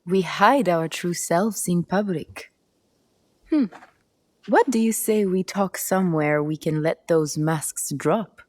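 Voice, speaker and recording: A woman playing a poised, cunning, self-assured game character with a measured, unhurried way of talking. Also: lowish voice, animated, a little mellow. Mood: sly, inviting. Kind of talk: video game cutscene acting.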